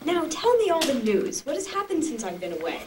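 A woman's voice: sits high, very animated, a little fast. A woman playing a kindly, curious young queen in a puppet show, gracious and questioning. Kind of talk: amateur puppet-show acting. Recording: distant noisy home video.

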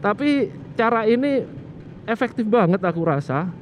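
High-voiced man rambling through a scooter tip. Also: expressive, moderate pace. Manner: rambling, often restarting and correcting himself. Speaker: motovlogger. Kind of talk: casual how-to vlog chat. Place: outdoors beside a scooter. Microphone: action camera. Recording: muffled action-cam audio with street noise.

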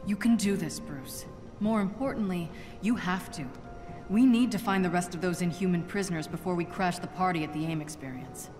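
An actress playing a seasoned spy in a video game, cool, blunt and commanding, with terse delivery. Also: female voice, on the low side, fairly quick, over music, lightly acted. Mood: firm, blunt. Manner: terse, no-nonsense. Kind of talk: video game cutscene acting.

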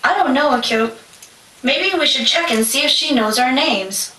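A woman's voice: sits high, expressive, normal speed, sharp tone. A woman playing a wary, obedient little girl in a puppet show, with childlike singsong delivery. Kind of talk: puppet show stage acting. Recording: distant echoey room, faint noise.

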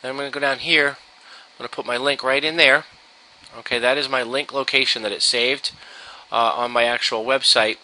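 An American man giving an informal software tutorial, explaining step by step in a casual, instructional way. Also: mid-range voice, fairly even intonation, normal speed, poor audio. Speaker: software trainer. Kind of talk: informal screen-share tutorial. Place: indoors, at a computer.

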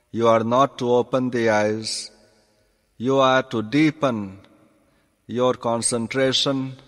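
A male yoga teacher guides a meditation in a calm, deliberate manner. His pitch sits in the middle range and he speaks very slowly, in short measured phrases. The recording carries a long, hall-like reverb.

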